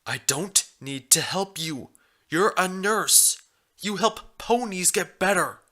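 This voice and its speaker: A man's voice: high-pitched, animated, takes it slowly, pushed and bright, voice pushed up, exaggerated voice. A man voicing a clueless, stubborn male pony in a story reading, pestering with puzzled questions. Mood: stubborn, incredulous.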